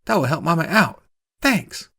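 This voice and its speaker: A man's voice: a little high, big pitch swings, moderate pace, creaky, fairly bright tone, breathy edge, no room sound, studio quality, pitched above the performer's own voice, heavily acted. A man voicing a cheerful, polite and chatty little girl for a short story, bubbly and earnest. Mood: excited. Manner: bubbly, earnest, quotes mama's sayings.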